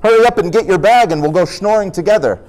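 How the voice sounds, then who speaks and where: A man voicing a brazen, indignant street beggar from a folk tale, with quick-retort delivery. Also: high voice, a little mellow, voice pushed up, close to an everyday voice, rough recording. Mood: demanding.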